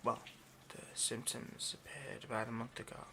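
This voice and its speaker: A man playing an obsessive, hungry vampire in an intimate ASMR roleplay, murmuring haltingly and often slipping toward a whisper. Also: mid-range voice, flat delivery, vocal fry, sharp tone, slight background noise. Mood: halting, explanatory. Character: obsessive, hungry male vampire, possessive and seductive.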